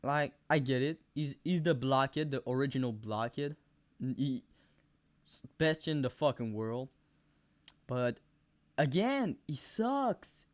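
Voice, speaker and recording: A young man with a thick Quebec French accent giving rambling, profane fight predictions on camera, full of fillers and sudden animated outbursts. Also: mid-range voice, no room sound. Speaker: MMA video host. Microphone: camera mic, close.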